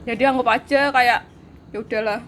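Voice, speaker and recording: A woman's voice: on the high side, steady tone, moderate pace, fairly bright tone, a little hiss or hum. A woman, chatting casually and giggling as she tells a spooky story. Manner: giggly, laughs through her story. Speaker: co-host telling ghost stories. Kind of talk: casual chat.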